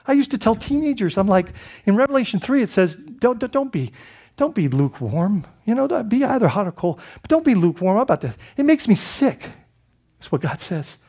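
A man's voice: high voice, sing-song, a little fast, warm tone, breathy edge. A man from the Bahamas preaching a sermon as pastor, in a conversational style with many restarts and rhetorical questions.

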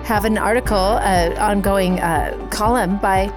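A woman co-host reads the sponsor list in a playful, hyped-up way. Her voice sits in the middle range for a woman. Music plays clearly underneath. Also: mid-range voice, fairly even intonation, measured pace. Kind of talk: podcast sponsor read.

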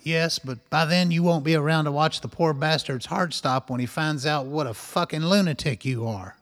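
A sardonic inner voice put on by a man needles a reclusive scientist in a radio drama. It sits noticeably high for a male voice and sounds mocking and taunting. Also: normal speed, a bit bright, natural voice.

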